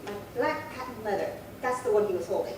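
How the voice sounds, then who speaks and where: A woman's voice: fairly high pitch, fast talker, natural voice. A woman acting a prim, chatty, indignant old lady in her late seventies in a 1950s-style radio crime drama, fussy and rambling. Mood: aggrieved. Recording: very echoey, distant, noisy room.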